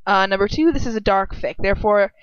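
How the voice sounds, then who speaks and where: A woman's voice: medium pitch, quick pace, clear, resonant tone, no room sound, lo-fi sound. A young woman co-hosting a fan fiction read-aloud, chatting and reading casually with informal, wry asides.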